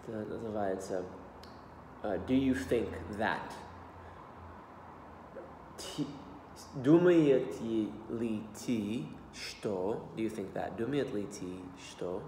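A man in his thirties with an American accent, practicing a new language aloud at his desk, repeating words slowly and haltingly. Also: lowish voice, animated, background noise. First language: English. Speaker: language learner. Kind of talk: self-study vlog, reading phrases aloud. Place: small room indoors. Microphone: laptop webcam at desk. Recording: roomy echo with long reverb.

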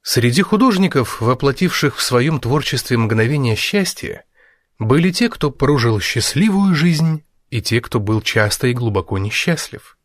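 A man reads a nonfiction book as a scripted narration. His voice sits at an average male pitch, and he phrases deliberately, leaving measured pauses. The sound is dry, with no room around it. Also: sing-song, dry recording. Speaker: audiobook narrator.

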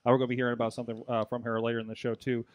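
A middle-aged man hosting a casual tech podcast, talking in a rambling, halting way with many restarts, into a studio mic in a small foam-lined room. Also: medium pitch, completely flat delivery, dry recording. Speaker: podcast host. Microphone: large studio mic, headphones.

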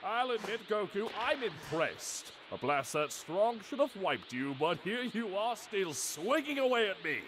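An actor playing a grandiose, sarcastic, petulant monster villain in a cartoon parody. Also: male voice, high-pitched, very animated, a little slow, hardly any pauses, background noise. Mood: grudging. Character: theatrical, menacing, petulant male monster villain.

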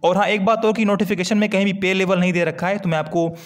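Higher-pitched man explaining informally. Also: flat delivery, fast talker. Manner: conversational, repeats key points for listeners. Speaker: exam-preparation video host. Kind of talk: informal explainer, walking through a document. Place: screen-recorded voice-over.

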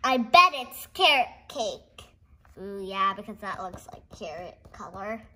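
A young girl reading a picture book aloud haltingly, with giggly, exclaiming casual asides. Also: medium pitch, lively intonation, pushed and bright. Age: child. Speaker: host of a read-along video.

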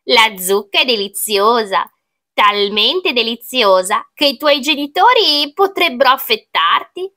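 A woman voicing a boastful, gloating storybook witch with a theatrical, drawn-out, taunting delivery. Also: on the high side, expressive, sharp tone. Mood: taunting, menacing. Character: gloating, boastful female witch cooking disgusting soups.

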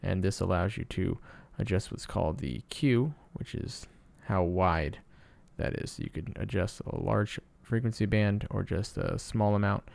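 Low, creaky man giving a tutorial. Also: measured pace, few pauses, darkish tone, no echo. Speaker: sound designer, tutorial presenter. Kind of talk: casual screen-recorded tutorial narration.

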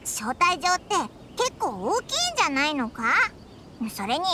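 Very high squeaky little companion. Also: female voice, sing-song, normal speed, heavy background noise. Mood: puzzled. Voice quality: squeaky, childlike. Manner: boyish rough speech, exclaiming and questioning. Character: small childlike floating companion, blunt, cheeky. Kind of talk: anime game voice acting. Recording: loud music behind the voice. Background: loud music.

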